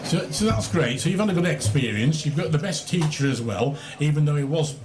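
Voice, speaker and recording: A man's voice: fairly high pitch, fairly quick. A man hosting a live radio interview outside, encouraging and repeating back what guests tell him. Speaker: radio host. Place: outdoors in a city square. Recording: outdoor broadcast with steady background noise. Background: outdoor ambience.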